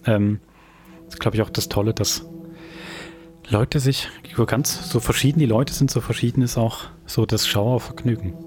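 A man's voice: medium pitch, fairly even intonation, normal speed, a little mellow, soft background music. A man with a Swiss German accent hosting a podcast in casual discussion. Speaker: podcast host.

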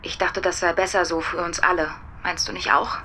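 Radio drama role: composed young wife, bright, forward voice, measured and reproachful, faint noise behind. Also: female voice, fairly low pitch, fairly even intonation. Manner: measured, questioning. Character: married young woman, composed, firm, reproachful. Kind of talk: radio play acting.

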